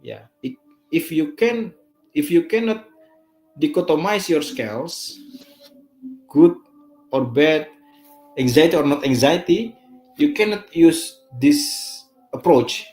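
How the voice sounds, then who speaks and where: A man from Indonesia with an Indonesian accent, giving an online lecture in a halting way, full of fillers and restarts. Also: fairly high pitch, animated, a little mellow, faint music behind. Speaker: guest lecturer. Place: online live stream.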